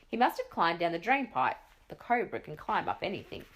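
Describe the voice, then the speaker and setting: Storybook voice: a woman plays a brisk male police chief. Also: fairly low pitch, big pitch swings.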